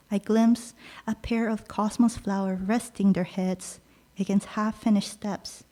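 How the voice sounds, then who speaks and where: A woman poet, native Korean speaker raised in Peru, reading her own poems aloud in a measured, pausing delivery. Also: mid-range voice, low-quality recording. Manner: slow, measured delivery with soft pauses between lines. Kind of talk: poetry reading.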